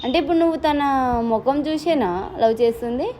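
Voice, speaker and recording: A woman's voice: high voice, fast. A woman chatting flirtatiously and insistently on a call, pressing her point as a devoted fan. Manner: pushy, insistent. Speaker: prank caller posing as a fan. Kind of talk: flirtatious phone chat. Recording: very noisy recording.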